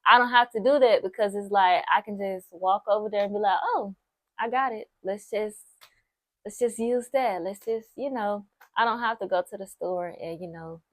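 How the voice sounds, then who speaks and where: A woman's voice: medium pitch, dry recording. A nineteen-year-old woman vlogging casual advice to camera, chatty and encouraging, repeating points for emphasis. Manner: chatty, repeats herself for emphasis, lots of "like" and "so, yes"; encouraging, speaks straight to the viewer.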